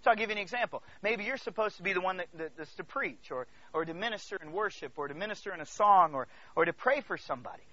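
High-pitched, animated male preacher. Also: fast talker, hardly any pauses, highs cut off. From Congo, Central Africa. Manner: repeats phrases for emphasis, checks in with listeners; emphatic, swings between hushed asides and loud declarations. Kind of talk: animated sermon to a congregation.

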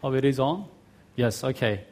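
A middle-aged man with a mix of Korean, Australian and American accents, a Korean native speaker, preaching as a guest pastor in a friendly, halting way with many fillers. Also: mid-range voice, lively intonation, a little mellow. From South Korea. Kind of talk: sermon.

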